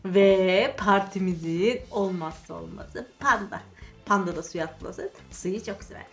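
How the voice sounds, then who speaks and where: A woman's voice: mid-range voice, measured pace. A woman hosting children's toy play, narrating playfully and talking to the toys in sing-song encouragement. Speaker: children's channel host. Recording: music under the voice. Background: music.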